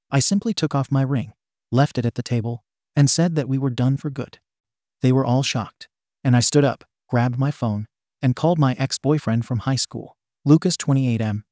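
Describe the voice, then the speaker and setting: A man narrating online posts aloud in an even, steady reading voice. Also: medium pitch, no echo, studio quality. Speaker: story narrator.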